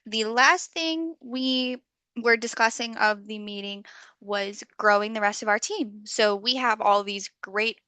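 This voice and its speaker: A woman hosting an online community call, friendly and full of okays, slightly hoarse as she loses her voice. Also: mid-range voice, unhurried, bright, forceful tone, no room sound. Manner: friendly facilitator, many okays. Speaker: meeting host. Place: video call.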